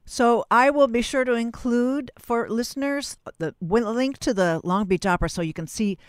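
A woman's voice: on the high side, normal speed, dry recording, studio quality. A woman hosting an interview in a conversational style, discursive and often rephrasing her sentences mid-thought. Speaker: interview host.

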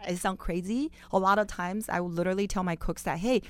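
A woman from China with a Chinese accent, telling personal stories as a chatty, animated interview guest. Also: mid-range voice, fast, clean tone. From Beijing, China. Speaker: guest, chef.

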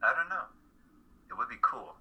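A man's voice: mid-range voice, animated, normal speed, sharp tone, slightly rough, full character voice, poor audio. A man acting a tired, subdued male college student in a dramatic reading, speaking hesitantly in short replies. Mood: reserved. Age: young adult. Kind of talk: radio-play style dramatic reading.

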